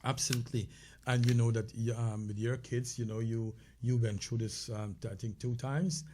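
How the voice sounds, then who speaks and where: A man's voice: mid-range voice, steady tone, moderate pace, mellow. A man with a Caribbean accent co-hosting a casual health talk show, speaking conversationally with many fillers and restarts. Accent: Caribbean English.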